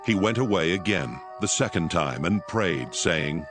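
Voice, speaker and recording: A man with a fairly low voice narrates a dramatized scripture. His delivery is solemn and scripted, with music playing clearly behind him. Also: expressive, unhurried. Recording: background music under the voice.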